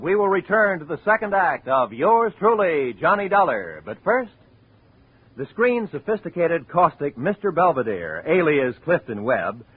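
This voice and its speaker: A man's voice: fairly high pitch, breathy edge, dry recording. A man with an American accent announcing in the polished, formal scripted style of 1950s network radio. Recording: muffled narrow-band old radio recording.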